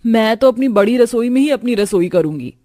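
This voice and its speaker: A woman's voice: on the high side, animated, fairly quick, warm tone, dry recording, clean studio sound, close to an everyday voice. A woman playing a haughty, spoiled rich young daughter-in-law in a cartoon story, boastful and condescending. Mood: petulant.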